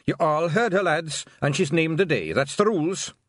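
A man voicing a fierce little male pixie chief with a Scottish accent, loud and blustering. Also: a little high, sing-song, moderate pace, husky, no room sound, studio quality, voice pushed up. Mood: eager.

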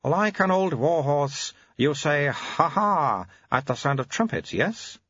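Man voicing a babbling baby abbot, high sing-song pitch, ancient authority, husky, muffled audiobook sound. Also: very animated, slow, a bit bright, no room sound, heavily acted. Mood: babbling, commanding. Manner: babbles nonsense sounds between commanding words.

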